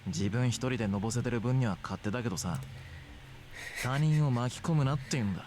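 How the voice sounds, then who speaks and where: Man playing cold young student, fast-talking. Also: medium pitch, lightly acted. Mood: scornful. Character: cold, bitter young male student, bluntly cruel. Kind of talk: Japanese visual novel voice acting. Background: game music.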